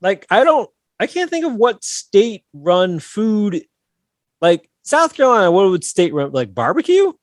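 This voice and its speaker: Lively, higher-voiced joking man. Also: no room sound, studio quality. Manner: playful, teasing, quick quips. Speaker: podcast co-host. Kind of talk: joking podcast banter.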